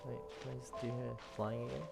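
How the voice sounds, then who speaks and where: A woman's voice: a bass voice, level tone, fast talker, gravelly. A woman giving casual commentary as she plays through a video game story quest. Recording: game music behind the voice.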